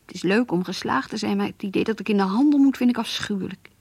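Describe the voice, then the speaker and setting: A woman playing a naive, pious schoolgirl in love, breathy and earnest, confiding her longings in a radio play. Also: medium pitch, quick pace, a little mellow, dry recording. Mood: wistful, confiding. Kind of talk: radio drama, diary-like monologue and dialogue.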